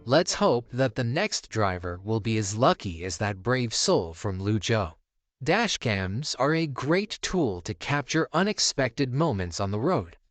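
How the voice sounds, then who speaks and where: A man's voice: mid-range voice, sing-song, measured pace, no echo. A man reading scripted narration for a video compilation.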